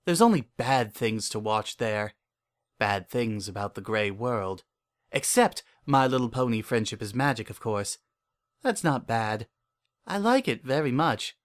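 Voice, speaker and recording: A man's voice: medium pitch, animated, unhurried, natural voice. Lonely, deluded male confessor for audio drama, acted by a man, mid-range voice, earnest, uneasily intense, intimate first-person storytelling. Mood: tender, obsessive. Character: lonely, obsessive, delusional man confiding his private devotion.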